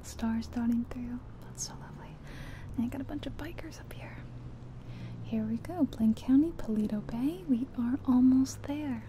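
A woman whispering softly and breathily in an ASMR roleplay as a friendly taxi driver, chatting gently and without hurry. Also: fairly high pitch, moderate pace. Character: friendly small-town taxi driver giving a guided ride. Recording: steady car and rain noise. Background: car sounds and rain.

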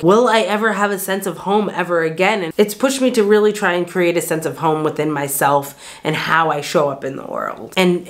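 A reflective American woman in her thirties speaks straight to the camera from a bright little bedroom. Her voice sits a touch low, and she talks in an earnest, searching way, circling back with "like" and restarting her sentences. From United States. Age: 30s. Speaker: vlogger. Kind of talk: candid talk to camera. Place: bright small bedroom indoors. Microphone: camera framed chest-up at desk distance.

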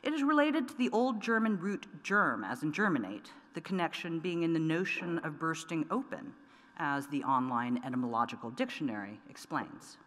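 A middle-aged woman poet reading her work aloud at a lectern microphone, measured and deliberate with pointed emphasis. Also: low-pitched, animated, fairly bright tone. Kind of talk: poetry reading. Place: large wood-paneled auditorium, at a lectern. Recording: long reverb of a large hall.

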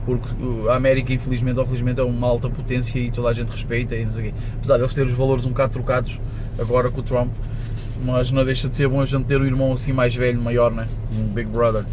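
A man's voice: medium pitch, flat delivery, fast. A man of around forty with a European Portuguese accent, rambling casually to camera while driving and mixing in English words. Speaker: vlogger. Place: inside a moving van cab. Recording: loud road and engine noise. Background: traffic and vehicle noise.

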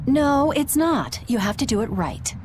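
Scolding, animated motherly figure. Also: sits high, unhurried, very noisy. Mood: stern, scolding. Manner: scolding, insistent. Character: strict, fussing, motherly woman.

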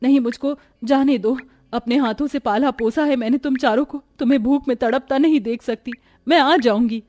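Cartoon poor housewife voiced by a woman, self-sacrificing, pleading, slightly high. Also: moderate pace, darkish tone. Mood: earnest. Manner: pleading, humble. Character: poor, caring, self-sacrificing young sister-in-law.

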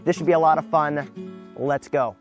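A man's voice: a little high, moderate pace, lo-fi sound, over music. A young American man presenting a casual vlog to camera, talking emphatically as he demonstrates a build. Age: young adult. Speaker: video creator, presenter. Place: outdoors by a wooden barn and gravel drive.

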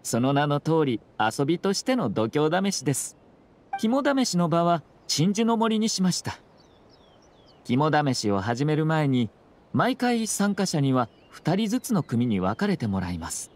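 An actor playing a composed young nobleman in an anime video game, speaking with polite, formal, measured phrasing and a subtly teasing slyness. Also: fairly high pitch, very animated. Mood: composed explaining.

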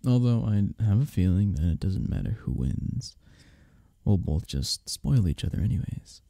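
Man acting as drowsy, cuddly husband, dark low voice. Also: very animated, vocal fry, no echo, studio quality, full character voice. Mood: teasing, relaxed. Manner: soft, drowsy, teasing, unhurried. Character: sleepy, cuddly, playfully affectionate husband in bed. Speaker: ASMR roleplay performer. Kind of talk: intimate ASMR boyfriend-style roleplay. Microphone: close, dry microphone.

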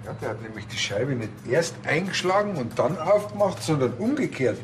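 A man's voice: on the high side, big pitch swings, normal speed, music in the background. An actor playing a stubborn, pedantic policeman with a Bavarian accent, earnest and insistent. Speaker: actor in TV crime comedy.

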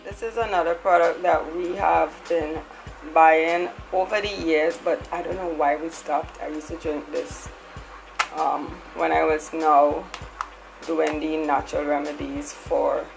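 Slow, low woman with fry. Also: fairly even intonation, music in the background, rough recording. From Trinidad. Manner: chatty, tags lines with "right?". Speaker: lifestyle vlogger. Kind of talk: casual product haul.

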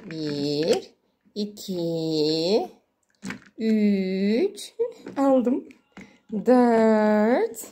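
A woman's high voice speaks in playful baby talk, as a mother does with a small child. She narrates the game in a sing-song way, repeating little words. The recording is a homemade one with clear background noise. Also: warm tone. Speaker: mother playing with her young child. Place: indoors at home beside water play tubs. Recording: noisy home phone recording with clicks.

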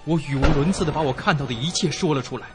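A man's voice: a little high, very fast, lightly acted. A man playing a young traveller narrating his frightening story in a suspense radio drama, theatrical and full of suspense. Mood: shaken. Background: loud background music.

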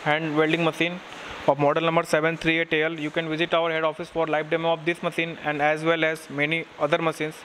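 A man's voice: on the high side, completely flat delivery, a bit bright. Man demonstrating a machine. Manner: plain, halting explanation with fillers and restarts. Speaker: technical engineer demonstrating a machine. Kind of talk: product demonstration. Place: workshop with machine on a green bench. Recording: noisy workshop room audio.

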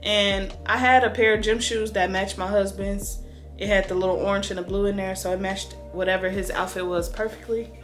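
Female vlogger, thirties, American accent. Casual, conversational, rambling as she thinks aloud; mid pitch, recorded indoors at home. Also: fairly even intonation, a little fast, faint music behind. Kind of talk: casual chatty unboxing vlog. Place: small room indoors at home.

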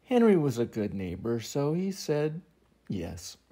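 An older man reading a children's picture book aloud as an expressive storyteller. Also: medium pitch, measured pace. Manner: expressive, acts out sound effects and voices.

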